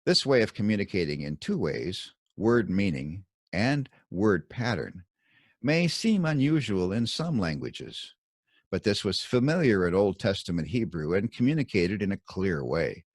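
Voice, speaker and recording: A man with a fairly low voice narrates scripted religious commentary in the manner of an audiobook. His pitch swings widely as he stresses key words, and his phrasing is deliberate and dramatic, with pointed pauses. The sound is close and dry. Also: big pitch swings, no echo. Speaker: author reading his own commentary.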